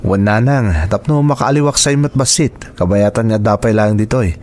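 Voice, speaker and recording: A man's voice: on the low side, normal speed, a little mellow, close to an everyday voice. A man with a Filipino accent playing an earnest young man in love in an Ilocano audio drama. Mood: reassuring. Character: devoted young man in love, persuading his mother.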